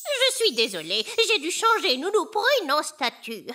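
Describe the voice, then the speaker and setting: An indignant old witch from a children's cartoon. Her voice is high and piercing, swinging theatrically up and down as she speaks sternly. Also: huge pitch swings, measured pace, bright, forceful tone, faint music behind, full character voice. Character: touchy old witch, grumpy but polite. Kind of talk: French children's cartoon dubbing.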